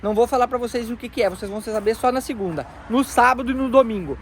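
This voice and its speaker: Man, very high voice, chatty raffle pitch, faint street noise outdoors. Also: quick pace. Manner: chatty, repeats "tá bom, meus amigão". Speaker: truck shop owner running a raffle. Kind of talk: casual promo talk to followers.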